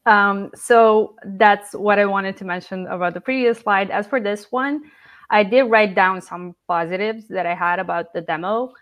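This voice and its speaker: A woman's voice: mid-range voice, fairly even intonation, normal speed, a bit bright. A woman whose first language is Serbian, a startup founder speaking English and frankly reviewing her own sales demo. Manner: self-critical, with fillers and restarts.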